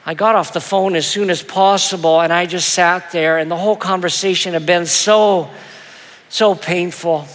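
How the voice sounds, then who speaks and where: A male pastor telling a story in a sermon, with a soft, soothing voice and a gentle, self-deprecating manner that stumbles into little repeats. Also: sits high, steady tone, normal speed. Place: church auditorium. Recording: long hall reverb.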